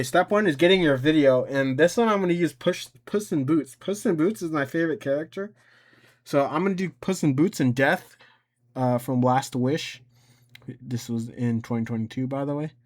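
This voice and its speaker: A man's voice: mid-range voice, a little fast. Man, mid-range voice, casual tutorial presenter, informal, rambling, thinking aloud. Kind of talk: casual screen-recorded software tutorial.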